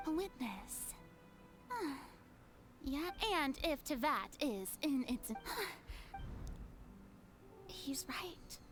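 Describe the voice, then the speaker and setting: A regal water goddess speaks in a very high, slow, deliberate voice. Grand and theatrical, she turns hushed and anguished, worn down by loneliness. Game music plays behind her. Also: lively intonation, heavily acted. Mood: torn, hushed. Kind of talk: game voice acting.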